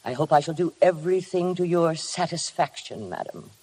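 An actress playing a cold, formal middle-aged housekeeper in a 1950s radio drama, measured and stiffly deferential. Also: female voice, sits low, expressive, warm tone, breathy edge, rough recording. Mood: formal, cool.

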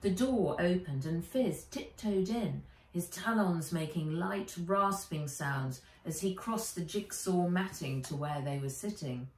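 A woman's voice: lowish voice, expressive, a little slow, hardly any pauses, a little mellow. A middle-aged British woman, an author reading her storybook aloud to children. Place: bright room by garden windows, indoors. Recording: roomy, a little distant from mic.

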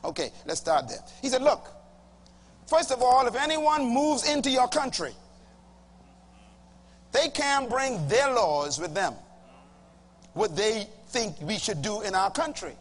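A middle-aged Bahamian man preaching a sermon to a congregation, emphatic, swinging from loud bursts to low asides. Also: sing-song, unhurried, long pauses. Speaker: pastor. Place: large church auditorium with a congregation. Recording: reverberant hall, faint hum.

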